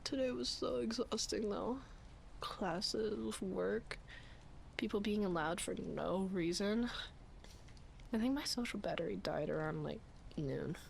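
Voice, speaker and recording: A woman acting as a sleepy, flustered young roommate in an intimate roleplay ASMR, murmuring hesitantly and often whispering, shy and playful. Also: mid-range voice. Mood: tired, shyly affectionate. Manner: hesitant, halting, breathy murmuring with sighs. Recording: clear background ambience noise. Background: rain ambience.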